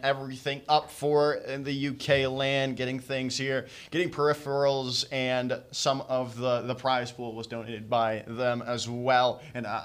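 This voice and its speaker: Bright-voiced young male esports host. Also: medium pitch. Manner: casual, halting delivery full of fillers. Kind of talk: hosting a live esports stream.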